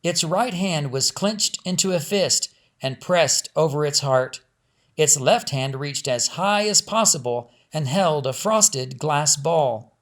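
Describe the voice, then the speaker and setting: A man's voice: on the high side, expressive, slow pace, a bit bright. Man narrating a family storybook. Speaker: author reading own audiobook.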